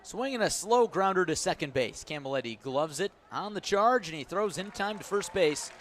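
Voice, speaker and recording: Male baseball play-by-play announcer, slightly high-pitched, live radio commentary delivery. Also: expressive, moderate pace, a bit bright, slight background noise.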